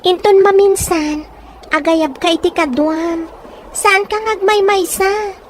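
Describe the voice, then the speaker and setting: A woman's voice: very high voice, takes it slowly. A woman playing a poor, resilient young orphan in an audio drama, plain-spoken and caring, with a northern Philippine accent. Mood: teasing, concerned. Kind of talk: acted audio drama dialogue. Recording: faint background noise.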